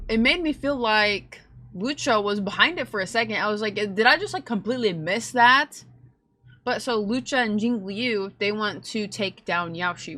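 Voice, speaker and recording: A young woman streamer giving casual, slangy, joking reaction commentary on a game in an excitable way. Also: medium pitch.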